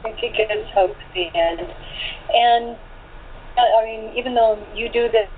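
A woman's voice: on the high side, steady tone, moderate pace. A woman chatting casually in an interview, matter-of-fact and a little wry. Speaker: actor in a radio play. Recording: tinny phone-like internet call, noisy.

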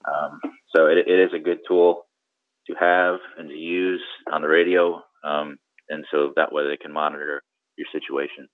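Very deep-voiced man explaining, slightly muffled. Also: flat delivery, normal speed, dry recording. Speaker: guest boating radio expert. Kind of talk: conversational instructional explanation.

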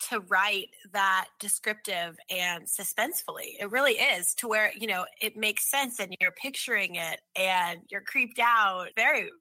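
A woman's voice: mid-range voice, unhurried, hardly any pauses, pushed and bright, no room sound, low-quality recording. A woman co-hosting a podcast in casual conversation. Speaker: podcast co-host. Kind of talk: casual chat.

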